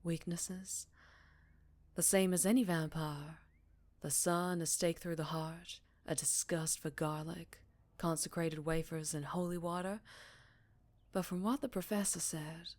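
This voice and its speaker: A woman playing a centuries-old vampire leader, speaking softly and breathily in an intimate ASMR roleplay. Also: on the low side, dry recording, close to an everyday voice. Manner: soft, breathy, measured, with whispered phrases. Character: centuries-old female vampire town leader, secretive, wistful, tender.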